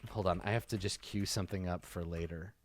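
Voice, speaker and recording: A man's voice: low-pitched, steady tone, fairly quick. A man reading a story aloud for laughs, stopping often to laugh and make profane, mocking asides. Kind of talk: comedic reading with commentary.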